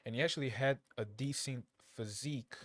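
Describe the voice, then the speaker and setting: A man from Angola who grew up in Portugal, a native Portuguese speaker, giving casual, unscripted commentary in a blunt, slangy, halting way, often repeating his phrases. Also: mid-range voice, a bit bright, no echo. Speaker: reaction video creator.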